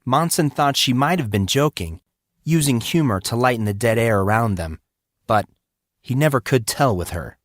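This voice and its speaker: A man narrates a fantasy novel in a scripted, dramatic storytelling style. His pitch sits in the middle for a male voice. The recording is dry, with hardly any room sound. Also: lively intonation, moderate pace, no echo. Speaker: audiobook narrator.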